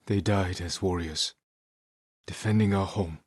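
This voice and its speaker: A man voicing a stoic, honorable samurai warrior in a video game, speaking in a measured, solemn and restrained way. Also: low voice, fairly even intonation, dry recording, clean studio sound. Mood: solemn, resolute. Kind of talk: dramatic video game voice acting.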